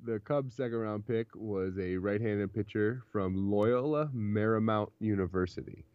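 A young man with a very low voice talks through a casual sports podcast and laughs easily between points. The recording is dull and lo-fi, with no room sound at all. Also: a little slow, smooth, no room sound. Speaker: podcast co-host.

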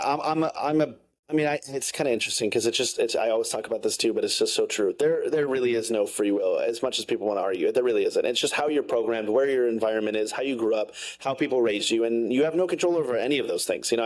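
A man speaks quickly and bluntly in a casual interview. His words tumble out with plenty of "like" and "um," and his voice sits at a middling pitch. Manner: fast, rambling, frank, many fillers. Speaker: guest, science content creator.

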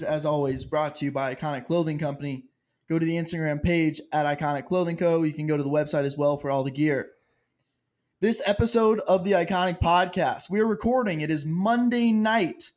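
High-ish dude hosting a sports podcast, jokey and teasing. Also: male voice, a little mellow. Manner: playful, teasing, reads sponsor plugs quickly. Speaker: podcast host. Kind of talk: casual sports podcast chat.

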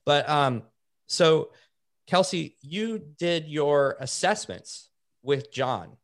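A man's voice: a little high, expressive, drawn-out words, fairly bright tone. A man hosting a casual interview, upbeat and often restarting his sentences. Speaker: host interviewing gym coaches.

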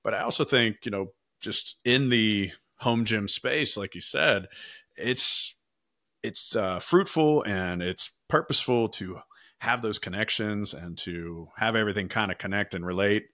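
Male podcast host, American, low-pitched but bright and forward, self-deprecating, close dry sound. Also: unhurried, dry recording. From Pittsburgh, United States. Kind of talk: casual podcast interview chat.

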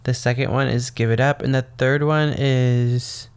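A young man talking through his own podcast in a loose, rambling way, his voice gravelly and a little raspy. He sounds tired, as if he got up before dawn. His pitch sits in an ordinary middle range for a man. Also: steady tone, unhurried. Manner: rambling, meandering talk full of "um" and "like". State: tired from waking very early. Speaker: podcast host. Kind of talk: casual solo podcast monologue.

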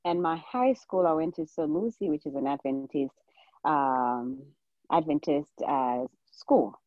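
A woman's voice: sits low, measured pace, no echo. A woman in her forties with a Zimbabwean accent, chatting casually as a guest in an interview, on a slightly muffled recording. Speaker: podcast guest, nurse practitioner.

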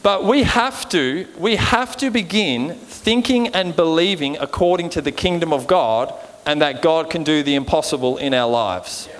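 A man's voice: fairly high pitch, normal speed, hardly any pauses, fairly bright tone. An Australian man preaching an informal sermon in a conversational way, often checking in with his listeners for agreement. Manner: conversational, seeks agreement with frequent rhetorical check-ins. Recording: long hall reverb.

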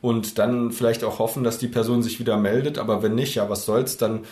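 A man's voice: mid-range voice, level tone, a little fast, a little mellow. A man co-hosting a casual podcast chat who rambles, thinking aloud with many fillers. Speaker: podcast co-host. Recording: roomy echo.

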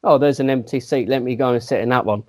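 A man on a casual podcast spins a self-deprecating anecdote, stumbling and restarting as he goes. His voice sits at a plain middle pitch. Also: steady tone, fast. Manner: rambling, self-mocking storyteller with restarts. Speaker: podcast co-host. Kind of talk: casual chat, anecdote telling.

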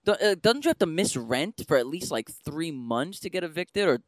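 A man with a slightly high voice, chatting as a podcast co-host. He riffs in an animated, informal way, and the sound is close and dry. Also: moderate pace, dry recording. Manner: animated riffing, mock-quotes characters. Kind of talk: casual chat, cartoon recap.

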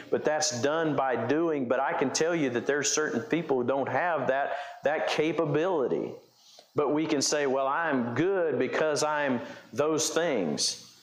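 A man's voice: medium pitch, slight background noise. A male pastor preaching a conversational sermon, explaining scripture with frequent restarts and fillers. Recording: echoey room.

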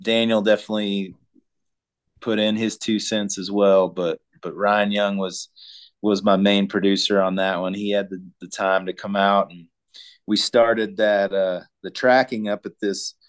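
A singer-songwriter from Colorado, a man, answering interview questions in a laid-back, halting way with many ums. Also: lowish voice, flat delivery, clear, resonant tone. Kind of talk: casual interview chat.

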